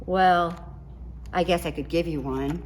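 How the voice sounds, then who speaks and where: A woman's voice: lowish voice, unhurried, slight background noise, natural voice. A woman voicing a grumpy, prickly little male cactus from a storybook, with a curt manner. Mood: grudging. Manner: yelling, curt.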